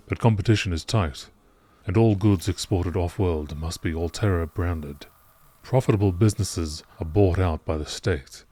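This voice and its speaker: A man reading game lore as scripted nature-documentary narration, in a measured, deliberate and formal manner. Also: low-pitched, darkish tone.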